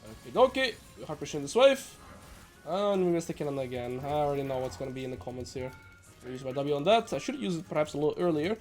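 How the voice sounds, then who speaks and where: A man talks quickly through gameplay like a coach, with a rather high voice. He sounds nasal and a little under the weather. Faint music plays behind him. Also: very animated. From Netherlands. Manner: brisk, explanatory, joking asides. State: slightly sick. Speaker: game coach. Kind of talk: gameplay commentary.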